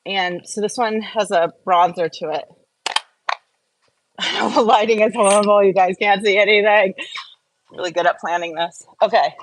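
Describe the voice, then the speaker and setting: A woman's voice: medium pitch, steady tone, moderate pace, long pauses, background noise. A woman vlogging in a casual, chatty question-and-answer and review style, rambling and often interrupting herself as she gets distracted. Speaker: skincare and wellness vlogger.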